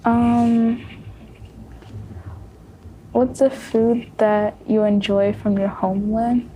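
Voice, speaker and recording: A young woman with a mellow, slightly high voice asks questions slowly, filling gaps with soft ums. Faint background hiss runs under her. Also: level tone, rough recording. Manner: soft, hesitant, many ums. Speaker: student moderator asking questions. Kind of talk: casual panel discussion.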